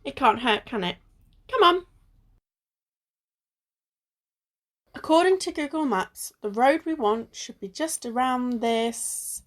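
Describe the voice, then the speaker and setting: Female amateur actor as bossy young adventurer, high voice, lively swooping pitch, sardonic banter, radio drama. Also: unhurried. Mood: curious. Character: wary, bossy, teasing young woman on a treasure hunt. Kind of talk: amateur radio play acting.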